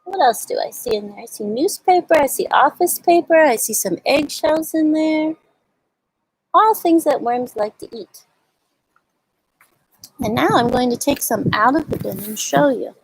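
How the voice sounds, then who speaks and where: A woman hosting a storytime for young children, explaining and reading aloud in simple words with little questions, in a slightly husky voice. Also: high voice, expressive, a little slow, slight background noise, poor audio. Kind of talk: show-and-tell and read-aloud for young children.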